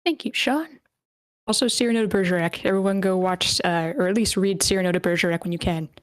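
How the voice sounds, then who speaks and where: A woman's voice: mid-range voice, fast, no room sound, studio quality. A woman casually hosting an online script table read, with a playful manner.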